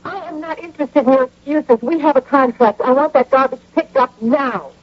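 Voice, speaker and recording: An actress playing a bossy, indignant wife in a radio comedy-drama, brisk and nagging. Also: female voice, high voice. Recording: dull, noisy old radio recording.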